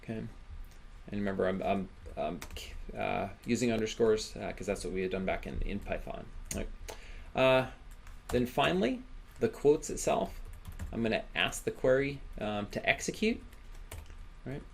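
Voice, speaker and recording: A man giving an informal coding tutorial as an instructor, speaking haltingly with repeated words and frequent check-in questions. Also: on the low side, lively intonation, vocal fry, a little hiss or hum. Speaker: programming instructor.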